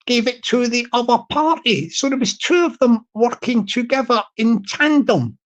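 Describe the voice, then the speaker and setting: A man with a high-pitched tenor voice, talking casually on his livestream and repeating his phrases as he rambles. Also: fairly bright tone. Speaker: YouTuber livestream host.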